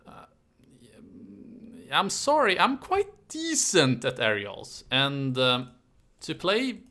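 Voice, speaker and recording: A man chats casually on a live stream, rambling and halting as he answers viewers. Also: mid-range voice, huge pitch swings, sharp tone. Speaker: YouTube history creator hosting a live stream.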